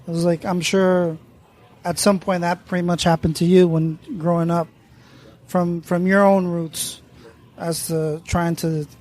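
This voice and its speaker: A man's voice: a little high, little pitch movement, unhurried, a little mellow. A man from Mexico, a guest on a round-table talk, explaining things thoughtfully in a casual way and laughing easily. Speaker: guest panelist.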